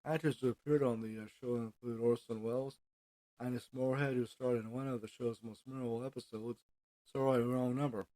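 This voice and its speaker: An American man hosting an informal solo podcast, reading from notes in a halting way and stumbling over names. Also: medium pitch, steady tone, mellow, dry recording, low-quality recording. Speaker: podcast host.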